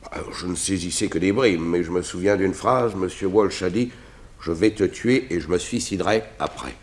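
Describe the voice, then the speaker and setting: A man playing a courteous, composed gentleman writer with precise speech in a 1960s French radio drama. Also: low voice, moderate pace.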